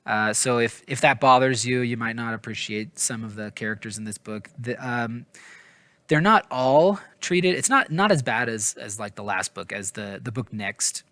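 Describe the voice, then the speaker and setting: A man's voice: mid-range voice, expressive, normal speed, pushed and bright, no echo. A man giving a casual, rambling book review in an informal, hesitant, self-deprecating way, often stumbling over his words. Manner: informal, hedging, apologetic.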